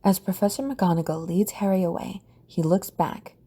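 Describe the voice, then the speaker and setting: A woman reading scripted story narration for an audio drama in a mellow, husky voice. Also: fairly low pitch, fairly even intonation, normal speed.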